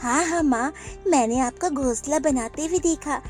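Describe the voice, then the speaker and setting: A woman voicing a small male bird chick in a cartoon, with a high, childlike, eager way of talking. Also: normal speed, darkish tone, soft background music, natural voice.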